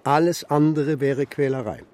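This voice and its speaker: An actor playing a gruff, terse old grandfather who tames eagles, in a children's radio play. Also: mid-range voice, normal speed, a little mellow, natural voice.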